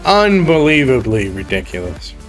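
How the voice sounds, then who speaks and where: A man's voice: mid-range voice, sing-song, normal speed, soft background music. Congested man chatting on a game stream. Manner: grumbling, rambling, exasperated. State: congested with a cold. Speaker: gaming streamer. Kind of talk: casual live stream chat.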